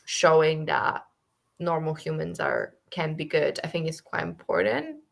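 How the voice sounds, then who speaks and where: A woman's voice: deep voice, unhurried, creaky. A woman co-hosting a book club discussion over a video call, talking casually and thinking aloud with lots of fillers.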